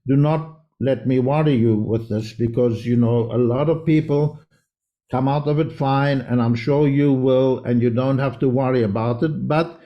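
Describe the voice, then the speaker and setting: A man's voice: mid-range voice, fairly even intonation, moderate pace, lo-fi sound. A man from South Africa, raised in Israel, speaking as a guest homeopath in an interview with earnest, winding explanations. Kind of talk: conversational interview.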